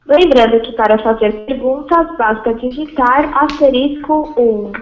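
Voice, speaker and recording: A woman's voice: high-pitched, a little slow, a little hiss or hum. A woman with a Brazilian accent reading formal scripted announcements as a conference call operator. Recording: echoey, dull conference call audio.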